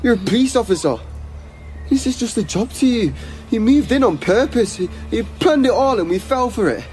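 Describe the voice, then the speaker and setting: An actor playing a distraught, betrayed teenage son in a naturalistic radio drama, halting and emotionally raw. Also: male voice, very high voice, expressive, darkish tone, soft background music. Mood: accusing, bitter.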